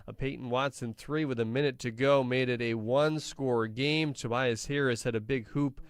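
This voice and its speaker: A man's voice: mid-range voice, steady tone, moderate pace, clear, resonant tone. A man hosting a radio halftime show, recapping the basketball game in sports commentary style.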